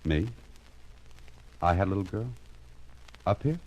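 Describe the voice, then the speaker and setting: An actor playing a wry, cool-headed private investigator in 1940s radio style, with a sardonic way of talking. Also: male voice, low voice, animated, measured pace, a little mellow, a little hiss or hum. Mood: wry, puzzled. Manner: sardonic, talks to himself in narrated asides. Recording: dull vintage radio recording, music behind.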